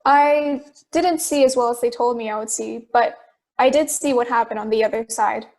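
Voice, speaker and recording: Woman as doubting, orderly juror, high pitch, reasoning manner, amateur audio drama. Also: normal speed, a little mellow, natural voice. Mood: measured. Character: calm, questioning juror who doubts and keeps order.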